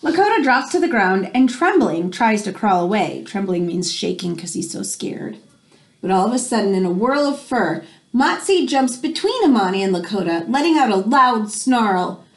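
A woman in her thirties reads a picture book aloud to children in an expressive, teacherly way, pausing to explain things. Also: mid-range voice. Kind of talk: read-aloud storytelling with explanatory asides for children. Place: small room indoors at home. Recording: home recording with background noise.

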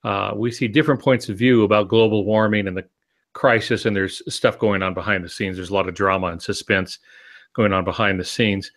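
A man in his sixties explaining things conversationally as a podcast co-host, in a measured, halting way with pauses mid-phrase. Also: lowish voice, steady tone, a bit bright. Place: home office, indoors. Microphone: video call with earphones.

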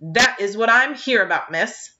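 An irate older farmer, short and stout, who splutters and blusters in a raised, strained voice that climbs well above the reader's own, as a woman puts him on for a storybook reading. The sound is bright and edgy, with a slightly dull, lo-fi quality to the recording. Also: very high voice, wildly swooping pitch, slow pace, voice pushed up, full character voice. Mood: furious.

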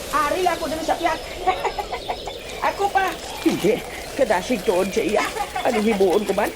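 A woman's voice: a little high, lively intonation, measured pace, very noisy. A woman acting a frantic old man in a comic audio drama, exclaiming and talking through each action aloud. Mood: straining, scheming.

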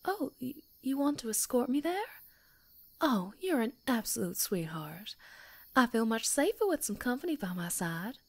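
A woman's voice: fairly high pitch, very animated, normal speed. A woman playing a sweet, jittery, chatty young woman in an ASMR roleplay, with a girlish manner. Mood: friendly, chatty.

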